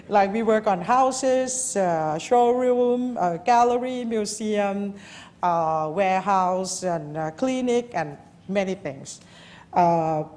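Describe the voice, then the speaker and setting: A woman's voice: on the low side, darkish tone. A woman from Thailand, giving a live architecture lecture at a lectern, speaking informally with many fillers and restarts.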